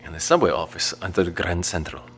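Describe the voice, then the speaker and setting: An actor playing a gentle, devout father and wary survivor in an audio drama, speaking in a measured, formal, polite way. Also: low-pitched, expressive, a little hiss or hum. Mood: calm, polite.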